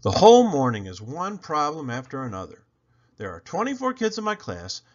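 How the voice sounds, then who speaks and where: A man reading a picture book aloud in an animated storytelling style, with theatrical emphasis on questions and lists. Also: fairly high pitch, moderate pace, muffled recording. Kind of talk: animated children's read-aloud narration.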